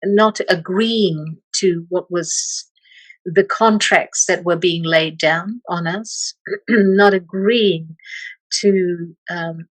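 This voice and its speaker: Slow, hesitant woman, dry sound. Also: on the low side, dry recording, low-quality recording. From Malaysia. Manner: slow, hesitant, many pauses and restarts. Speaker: meditation teacher, guest. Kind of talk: reflective interview talk.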